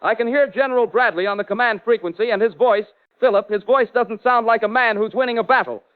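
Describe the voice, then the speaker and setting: Shaken 1940s American war reporter, male actor: high voice, urgent, dramatic, thin phone-like radio transmission. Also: quick pace. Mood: alarmed, horrified. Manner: urgent, dramatic, florid eyewitness delivery. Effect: radio transmission filter. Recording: tinny, narrow wartime radio link.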